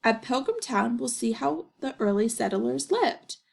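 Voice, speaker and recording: A woman's voice: fairly high pitch, big pitch swings, unhurried, vocal fry, slightly rough, heavily acted. A woman playing a kindly, orderly female schoolteacher instructing her class in a children's storybook. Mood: instructive.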